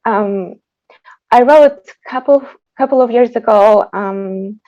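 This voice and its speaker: A woman who is a native Tatar speaker, giving an informal, reflective introduction as a composer, with halting phrasing, over a video call. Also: on the high side, muffled recording. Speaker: composer introducing her piece. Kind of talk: informal spoken introduction at an online concert.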